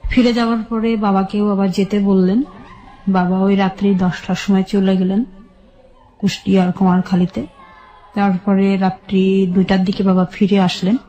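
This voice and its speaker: Mellow-voiced Bangladeshi woman recalling her life. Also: mid-range voice, flat delivery, normal speed, low-quality recording. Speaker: guest telling her life story. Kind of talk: personal storytelling in a radio interview.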